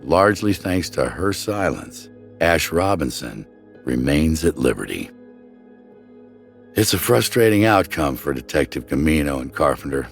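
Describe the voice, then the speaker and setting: A man with a low, deep voice narrates a true crime story from a script. His delivery is measured and dramatic, like a documentary storyteller, with music playing under the voice. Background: background music.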